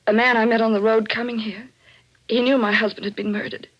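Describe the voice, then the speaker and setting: A muffled old-time radio recording of an actress as a distraught wife in a mystery drama. Her voice sits fairly high and has a breathy edge. She speaks melodramatically, in the breathless, fearful manner of forties suspense acting. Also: little pitch movement, a little fast, close to an everyday voice. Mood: shaken, earnest. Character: distraught young wife who finds her husband murdered. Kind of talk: 1940s radio mystery acting, first-person narration and dialogue.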